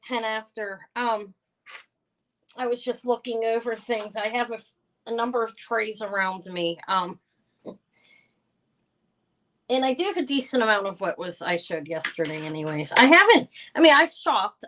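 Loud, bright-voiced woman hosting a live sale. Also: medium pitch. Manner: chatty, rambling, loud voice. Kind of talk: casual live-stream chat greeting viewers.

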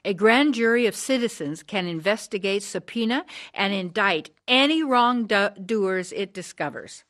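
A woman's voice: mid-range voice, expressive, measured pace, a bit bright. A woman playing a brilliant, hard, driven sixty-year-old activist in a radio drama, speaking in earnest declarations. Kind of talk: scripted radio drama acting.

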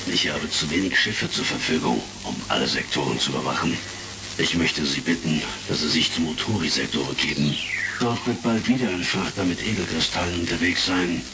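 Very deep-voiced intelligence chief. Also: male voice, a little fast, vocal fry, over music. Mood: concerned, official. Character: worried, authoritative male intelligence chief, measured. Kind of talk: German sci-fi audio drama acting. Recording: distant, noisy retro crackle.

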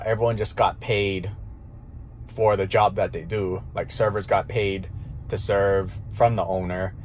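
A man's voice: fairly low pitch, a little mellow, a little hiss or hum. A man in his thirties, a restaurant owner, talking casually in a parked car at night into a phone camera, thinking aloud with many fillers. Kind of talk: casual monologue. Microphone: phone camera held close.

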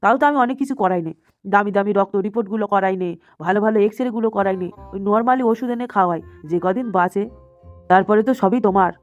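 A woman's voice: mid-range voice, fast, muffled recording, soft background music, lightly acted. A woman voicing a sly old grandfather who coaxes and pleads with his lover in an amateur comedy cartoon. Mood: sly, placating. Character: wheedling, cheating old grandfather placating his secret lover.

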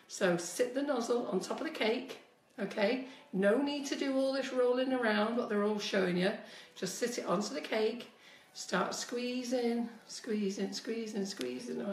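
A chatty woman in her fifties demonstrates cake piping in a home kitchen, speaking straight to her viewers. Her voice is a little squeaky from a cold. The sound is echoey and she often drifts away from the phone mic. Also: animated, normal speed, far from the mic, a little hiss or hum. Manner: chatty, instructive, addresses viewers directly. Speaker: cake decorating tutor. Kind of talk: live-streamed baking demonstration.

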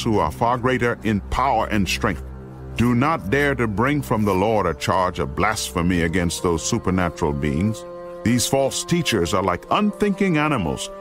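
Grave apostle warning his flock, lowish voice, oratorical, deliberate, dramatized scripture, faint music underneath. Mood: stern, denouncing.

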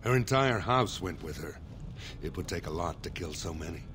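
Dignified older dwarf lord, lowish voice, measured formal delivery, fantasy game, strong music underneath. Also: male voice, expressive. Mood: grave, formal. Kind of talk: fantasy video game dialogue. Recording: game dialogue over prominent music. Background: loud background music.

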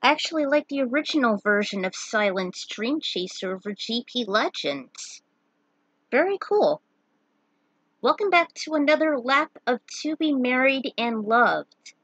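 A woman's voice: on the high side, big pitch swings, unhurried, a bit bright, breathy edge, dry recording, dull audio. A woman giving casual recaps and amateur narration as the host of a read-aloud fan fiction series.

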